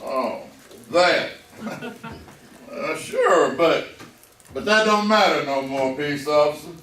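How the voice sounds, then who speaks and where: High-voiced backwoods feuder. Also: male voice, lively intonation, slow pace, a bit bright, far from the mic. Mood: sheepish. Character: stubborn backwoods feuding mountain man. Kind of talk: 1950s radio western acting. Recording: echoey, faintly noisy old radio recording.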